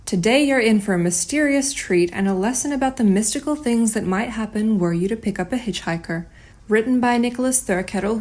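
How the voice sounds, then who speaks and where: A woman's voice: medium pitch, a little mellow. A woman host reading a scripted introduction to an audio drama broadcast. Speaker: host and producer.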